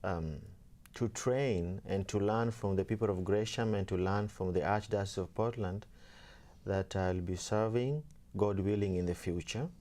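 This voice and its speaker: A young man from Kenya, a seminarian guest, talking casually and softly with many fillers. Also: lowish voice, normal speed. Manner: soft-spoken, many fillers, laughs easily. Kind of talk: casual interview talk.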